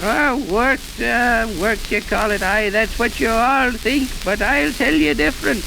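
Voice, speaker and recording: Garrulous old village blacksmith, rural English accent, unhurried gravelly grumble, crackly vintage radio drama. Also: male voice, very high voice, heavily acted. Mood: folksy, contrary. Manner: folksy, grumbling, repeats himself for emphasis. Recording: noisy, hissy, crackling old radio recording.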